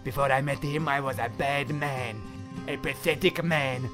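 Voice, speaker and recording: An actor playing a fervent, preachy captive with a Spanish accent and broken English, in a dark comedy crime drama. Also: male voice, medium pitch, steady tone, normal speed, over music, natural voice. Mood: nervous, reverent. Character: terrified male captive turned fervent, preachy zealot.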